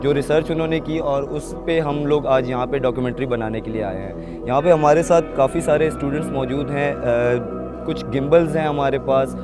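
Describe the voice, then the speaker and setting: A man's voice: mid-range voice, a little fast. A man giving an informal on-location report to camera. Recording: live music playing behind the voice. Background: background music from a musician beside him.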